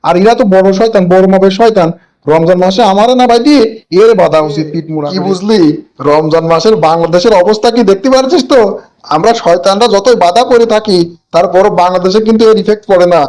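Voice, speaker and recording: A cheeky older cartoon devil, acted by a man. He chatters quickly in a high pitch. His talk is colloquial, indignant and full of exclamation. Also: darkish tone, close to an everyday voice. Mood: amazed, indignant. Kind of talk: comic cartoon dialogue.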